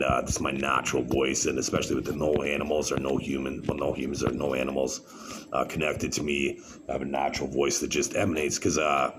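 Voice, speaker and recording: A man with a very deep voice, talking in a halting, rambling monologue full of fillers and restarts. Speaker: vlogger. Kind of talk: rambling solo monologue to camera. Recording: clear background noise.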